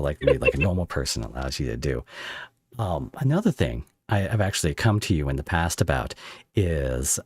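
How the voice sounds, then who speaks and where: Low-voiced guy doing a podcast interview, lively up and down, kinda halting and rambly. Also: no echo. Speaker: podcast host interviewing a creator. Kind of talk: casual interview chat.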